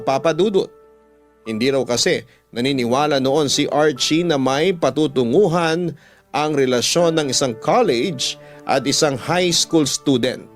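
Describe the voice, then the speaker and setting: A Filipino man tells a first-person story in radio drama style. His voice sits a little high for a man, with soft music underneath.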